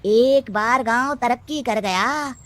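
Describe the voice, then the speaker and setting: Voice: mid-range voice, fairly bright tone. A voice putting on a sly cunning adviser. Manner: sly, sneering, slow.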